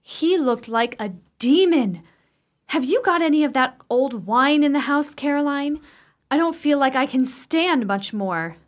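A woman playing a bold, curious, strong-willed sister in a radio chiller, with formal, dramatic diction and a husky voice. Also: sits high, lively intonation, a little slow. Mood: shaken. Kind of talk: theatrical radio play acting.

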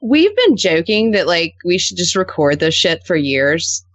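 Animated, casual woman storyteller, close and dry. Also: fairly low pitch, a little slow, no room sound. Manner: animated storyteller, peppers talk with "like". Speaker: podcast guest. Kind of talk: casual, anecdotal chat.

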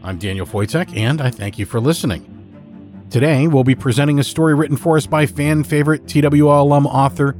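A man's voice: medium pitch, a little mellow. A man reading a scripted introduction as the host of a horror fiction podcast. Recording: music bed under the voice. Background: music.

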